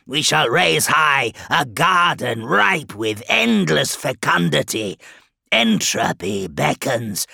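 Fawning, zealous demon herald, fantasy audiobook. Gravelly and sharp, declaiming with lively swoops. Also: male voice, on the high side, no room sound, studio quality, pitched above the performer's own voice, full character voice. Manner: declamatory, drawn-out exhortation. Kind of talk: dramatic fantasy audiobook character voice.

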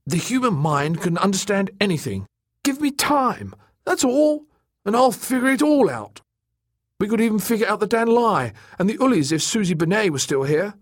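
A man voicing a small, fat, fussy male mapmaker in an audiobook, excitable, squawking and stubbornly optimistic. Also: sits high, normal speed, no room sound, studio quality, voice pushed up. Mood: nervous, defensive. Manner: squawking, flustered, eager. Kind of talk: audiobook character dialogue.

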